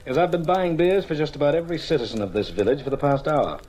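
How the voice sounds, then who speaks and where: An actor playing a confident, breezy male amateur detective in an old radio mystery, with a husky, slangy, assured delivery. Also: fairly high pitch, fast, darkish tone, lightly acted. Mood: brisk, probing.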